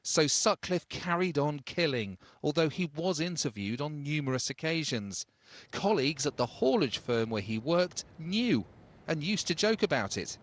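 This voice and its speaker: A man's voice: on the high side, sing-song, normal speed, dry recording. A man reading a scripted news report as a reporter.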